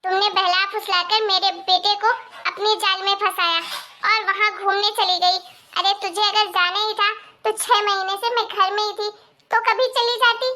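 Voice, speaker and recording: Woman doing a bossy cartoon mother-in-law, super high bright voice, scolding and suspicious. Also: little pitch movement, normal speed. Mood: angry scolding. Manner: scolding, accusatory, emphatic. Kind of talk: Hindi moral-story cartoon dialogue.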